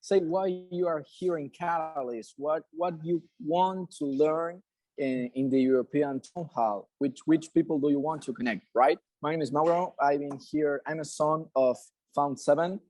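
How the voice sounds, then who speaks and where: Mellow Argentine man, dry sound. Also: on the high side, moderate pace, dry recording. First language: Spanish. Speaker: community member at an online meeting. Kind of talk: casual spoken introduction.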